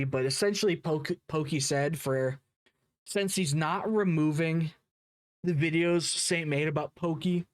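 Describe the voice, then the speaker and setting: A man's voice: on the high side, no echo, clean studio sound. A man hosting drama commentary in a blunt, casual, profane way.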